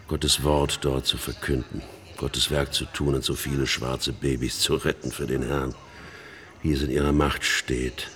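Deep-voiced, embittered middle-aged storyteller. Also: male voice, flat delivery, a little slow, fairly bright tone. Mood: brooding, wry. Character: embittered older man haunted by his missionary sister's death. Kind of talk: dramatic monologue in a German radio play.

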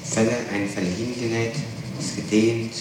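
A man's voice: mid-range voice, little pitch movement, normal speed. A middle-aged man questioning someone as a prosecutor in a formal, precise and probing way, on an old tape recording. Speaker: public prosecutor questioning a suspect. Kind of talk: formal interrogation. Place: reverberant room, far from the microphone. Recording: echoey, distant, noisy archival tape recording.

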